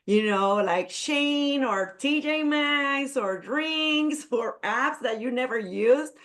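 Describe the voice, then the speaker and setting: A woman's voice: sits high, animated, takes it slowly, a bit bright. A woman from Puerto Rico with a Spanish accent and Spanish as her first language, introducing her coaching work on a video call in an earnest, emphatic way. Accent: Puerto Rican Spanish. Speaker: business coach.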